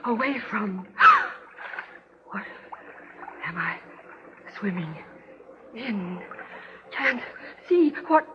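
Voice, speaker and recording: A desperate, sleepless woman in an old radio horror play. Her delivery is breathless and fragmented, with big swings of pitch. The recording is muffled, with faint noise. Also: expressive, extremely slow, pauses often. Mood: fearful, despairing. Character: terrified married woman trapped in recurring nightmares, sleepless, desperate. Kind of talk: 1940s radio horror acting.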